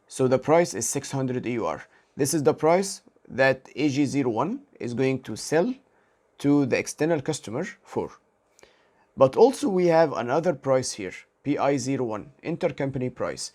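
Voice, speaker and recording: A man instructor narrating a software demonstration step by step, explaining methodically and carefully spelling out codes. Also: medium pitch. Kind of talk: step-by-step screen demo narration.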